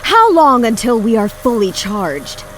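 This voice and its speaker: Slow, ruthless commander played by a woman, over music. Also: fairly high pitch, big pitch swings, fairly bright tone. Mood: cold menace. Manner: commanding, cold, disdainful. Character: ruthless, contemptuous female sci-fi fleet commander. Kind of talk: sci-fi audio drama acting.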